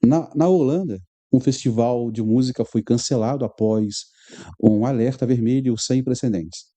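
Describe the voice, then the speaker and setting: A man's voice: medium pitch, normal speed, warm tone. A man with a Rio de Janeiro Brazilian accent, reading news aloud with conversational asides to his viewers as a religious commentary host.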